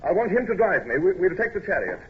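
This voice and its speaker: A man's voice: high voice, very animated, quick pace. A man acting a proud, self-conscious English naval captain in an old-time radio drama, brusque and clipped but hesitant when flustered. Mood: excited, brisk. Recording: muffled, hissy old radio recording.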